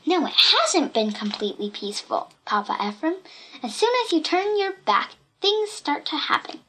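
A plucky little girl from a children's storybook, played by a woman reader. Her voice is high and swoops with expression. She is cheeky and fearless, with a breezy, mock-innocent politeness. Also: big pitch swings, normal speed, a bit bright, a little hiss or hum. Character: plucky, cheeky little red-headed girl, unafraid of bullies.

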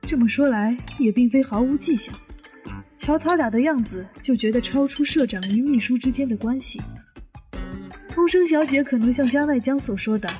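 A woman's voice: high voice, moderate pace, dark tone, lightly acted. A woman playing a nosy, insinuating middle-aged mother in a family audio drama. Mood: nosy, gossipy. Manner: prying, insinuating. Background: music and clinking tableware.

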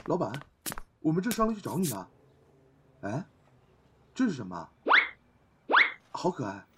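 A man's voice: a little high, huge pitch swings, measured pace. A man playing a polite, easily worried young helper in a fantasy audio drama, earnest and questioning. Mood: puzzled. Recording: noisy background with clicks.

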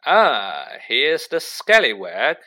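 Guy doing a vain famous wizard for a storybook, pompous, gushing, swoopy pitch, bright voice. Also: huge pitch swings, heavily acted. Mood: self-satisfied, boastful. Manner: pompous, effusive, drawn-out emphasis. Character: vain, self-satisfied celebrity wizard man. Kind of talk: audiobook character acting.

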